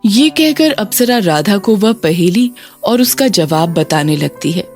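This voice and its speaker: Slow-paced woman storyteller over music. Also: mid-range voice, animated. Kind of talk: scripted children's story narration. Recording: music bed under narration.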